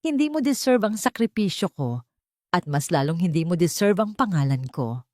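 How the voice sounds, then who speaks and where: A woman's voice: on the low side, sing-song, normal speed, darkish tone, no echo, clean studio sound. A woman voicing a wronged wife and army colonel in an audio story, cold and firm. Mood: cold, defiant.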